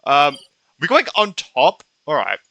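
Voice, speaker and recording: A man's voice: on the high side, huge pitch swings, unhurried, vocal fry, bright, forceful tone, highs cut off, slight background noise. A young man giving casual, slangy reaction commentary over a game, often exclaiming and trailing off mid-thought. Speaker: gaming streamer. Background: game music.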